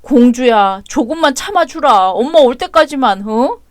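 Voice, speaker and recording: A woman's voice: high-pitched, lively intonation, moderate pace, no room sound, clean studio sound. Woman doing a whiny pleading husband. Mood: gentle. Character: whiny overwhelmed young father and husband.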